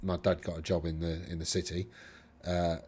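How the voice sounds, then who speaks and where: A man with a Middle England accent and a slightly low voice talks casually on his own. He rambles, corrects himself mid-sentence and drifts into chatty asides. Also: flat delivery, normal speed, darkish tone, breathy edge. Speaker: host reviewing tournament lists. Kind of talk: casual solo commentary.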